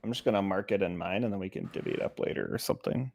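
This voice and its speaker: A man's voice: low-pitched, racing, gravelly, darkish tone. A man chatting casually as a player in a tabletop game.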